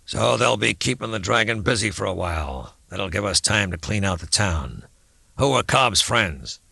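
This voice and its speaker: A man's voice: fairly low pitch, expressive, moderate pace, vocal fry, sharp tone, husky, dry recording, full character voice. A man voicing a hard, menacing small-town boss in an audiobook, speaking in a commanding, threatening way. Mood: calculating, commanding.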